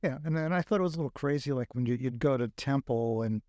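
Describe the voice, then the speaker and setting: An older man with a Brooklyn accent speaks slowly and reflectively, trailing off and restarting as he works through his thoughts. His voice sits at a middling pitch. The close studio mic keeps the sound dry and intimate. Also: warm tone, dry recording. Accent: Brooklyn New York. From Brooklyn, New York. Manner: reflective, halting with many fillers. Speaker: guest. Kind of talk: casual podcast conversation. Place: recording studio.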